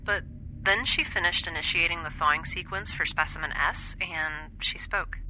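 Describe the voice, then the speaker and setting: Very bright-voiced, wry space scientist. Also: female voice, on the low side, slight background noise, rough recording. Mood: pensive, confiding. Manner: conversational, halting, confiding, self-deprecating. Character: wry, anxious young woman scientist living in space. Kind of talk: scripted audio drama monologue, like a personal log.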